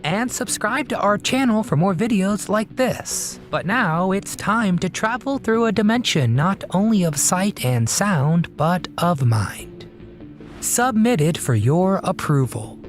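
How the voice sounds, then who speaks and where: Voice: medium pitch, expressive, takes it slowly. A narrator reading a scripted documentary-style video in a presentational way. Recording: music bed behind the voice. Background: music.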